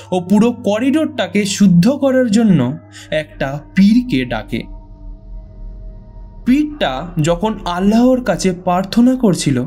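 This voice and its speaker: A storyteller narrating a scripted horror tale in a dramatic, suspenseful way with pauses. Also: mid-range voice, dark tone. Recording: music behind the voice. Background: background music.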